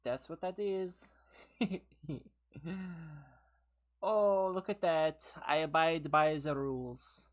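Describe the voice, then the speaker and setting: A woman's voice: low-pitched, fairly even intonation, slow, pauses often. A woman streaming a game live from her own room, chatting with viewers, laughing often and teasing them, tired and losing her voice. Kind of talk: casual live gameplay commentary with chat.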